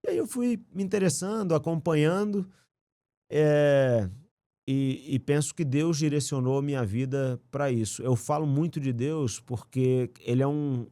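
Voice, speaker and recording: A man, a podcast guest telling his life story in a relaxed, slangy, earnest way. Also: on the high side, unhurried, dry recording. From Rio de Janeiro, raised in Brasília. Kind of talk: casual conversational storytelling.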